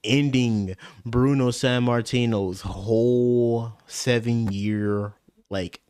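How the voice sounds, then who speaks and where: A man reacting off the cuff as a video host, speaking in casual, halting slang with some swearing. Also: mid-range voice, steady tone, a little mellow, smooth.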